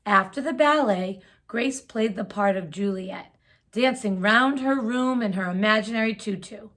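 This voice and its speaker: Slow, gentle woman reading a storybook. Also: mid-range voice. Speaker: children's story reader. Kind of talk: reading a picture book aloud.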